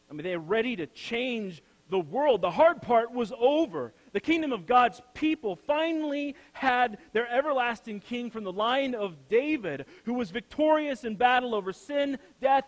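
A young man preaching a sermon from a church pulpit. He builds to loud, emphatic peaks, then drops to soft, low phrases. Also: low-quality recording. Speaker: pastor. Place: church sanctuary, speaking from the pulpit.

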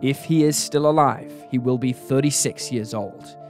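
A man delivers scripted true crime narration in a serious, measured way. His voice sits at a medium pitch, and music plays behind him. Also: darkish tone. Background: background music.

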